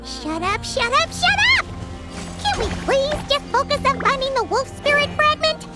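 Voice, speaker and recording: Squeaky, bossy little fairy companion. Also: female voice, animated, normal speed. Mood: exasperated. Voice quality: squeaky, childlike. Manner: exclamatory, scolding. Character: small bossy childlike floating fairy companion, female. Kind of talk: video game voice acting. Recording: music behind.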